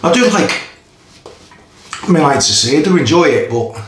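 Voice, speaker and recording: A man's voice: fairly high pitch, big pitch swings, moderate pace. A man in his fifties with a Geordie accent, chatting casually while he shaves in a small tiled bathroom, talking in short stop-start phrases. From Newcastle area, England. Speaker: shaving vlogger. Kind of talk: casual chat while shaving. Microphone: camera in front of face. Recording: echoey bathroom, faint noise.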